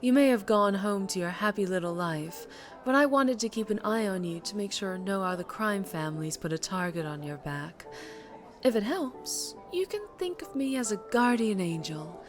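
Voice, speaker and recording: A woman playing a charming, menacing mafia boss in an ASMR roleplay, with a sultry, teasing, unhurried drawl. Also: mid-range voice, expressive, music in the background, close to an everyday voice. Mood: smooth, quietly menacing.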